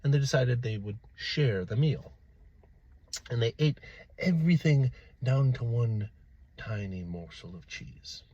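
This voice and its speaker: A man telling a folktale aloud in a theatrical way, with dramatic pauses and swells. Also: mid-range voice, animated, warm tone, slightly rough, no room sound, lo-fi sound. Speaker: storyteller. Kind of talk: animated oral folktale storytelling.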